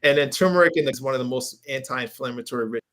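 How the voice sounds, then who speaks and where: An enthusiastic man with a mid-pitched voice hosts a casual livestream as a health coach. He rambles as he browses, often repeating his phrases in an informal, chatty way. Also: quick pace, a bit bright. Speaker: health coach hosting a live show. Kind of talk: casual livestream chat while browsing recipes.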